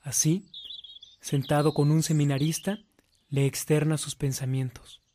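A man's voice: a little high, flat delivery, measured pace, warm tone. A man narrating a scripted radio drama.

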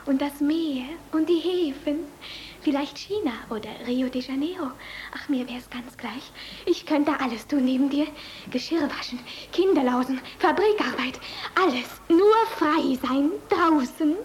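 An ardent young lover in a German radio drama. Her voice is high and often drops to a breathy, wistful hush, swept up in feeling. Faint noise sits on the old recording. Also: unhurried. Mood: dreamy, yearning. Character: ardent, dreamy young woman in love. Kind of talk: German radio play acting.

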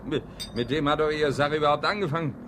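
An actor playing a blunt, embittered but hearty husband in a 1960s German radio drama. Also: male voice, fairly high pitch, fast talker, noisy background, lightly acted. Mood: bitter resolve. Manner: brusque, colloquial, emphatic. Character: bitter, blunt, hearty husband worn down by postwar life. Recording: 1960 radio play recording.

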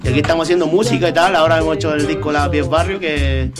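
Laid-back Chilean rapper over music. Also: male voice, fairly high pitch, racing. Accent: Chilean Spanish. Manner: relaxed, repeats "¿sabes?" often. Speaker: guest rapper. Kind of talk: casual interview chat. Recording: radio studio with loud music bed.

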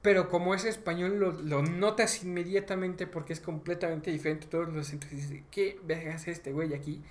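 Slangy young Mexican man, higher-pitched voice. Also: steady tone, a little fast, few pauses. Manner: slangy, frequently profane. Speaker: podcast host. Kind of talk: casual chat about video games.

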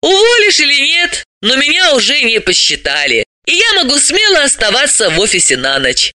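Here is a brisk, self-assured woman sleuth from an audiobook, acted out by a man. The voice is pitched high and sounds very bright, swinging widely as it explains with businesslike emphasis and a mocking edge. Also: wildly swooping pitch, no room sound, clean studio sound, heavily acted. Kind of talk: audiobook character voice.

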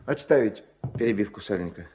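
An actor playing a gruff, resolute riverboat captain in a Soviet radio play, terse and commanding with a folksy, mock-formal manner. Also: male voice, fairly low pitch, lively intonation, fairly quick, darkish tone, lightly acted. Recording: vintage 1970s mono radio recording.

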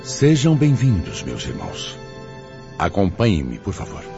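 A fatherly spirit elder in a Brazilian radio drama. His voice is extremely low and moves expressively as he admonishes with formal gravity. Music plays behind the dull recording. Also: wildly swooping pitch, a little slow, gravelly, full character voice. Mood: gentle welcome. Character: solemn fatherly spirit elder, a gently stern missionary.

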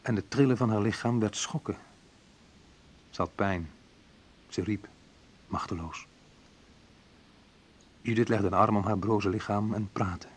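A man's voice: fairly low pitch, unhurried, long pauses, slightly rough. An actor playing a wounded man who narrates his youth in a radio play, in short colloquial phrases. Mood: subdued, sorrowful. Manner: colloquial, sardonic, clipped short sentences. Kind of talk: dramatised first-person narration, radio play.

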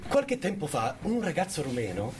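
A man's voice: a little high, wildly swooping pitch, normal speed, over a noisy crowd. A young man telling a comic tale in a sardonic, theatrical way, full of mock-confiding asides. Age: young adult. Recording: loud background noise and ambience.

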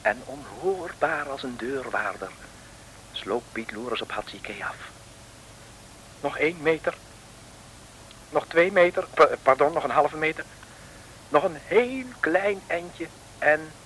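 A droll storyteller narrating a vintage radio adventure comedy. His voice is a little high, with playful, rhythmic, suspenseful phrasing. The old recording has clear noise and clicks. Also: unhurried, long pauses, a bit bright, rough recording. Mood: hushed suspense. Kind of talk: mid-century Dutch radio serial narration.

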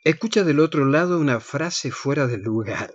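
A man's voice: on the high side, expressive, normal speed, no room sound, muffled recording. A man with an Argentine accent, a radio co-host, narrating scripts and presenting.

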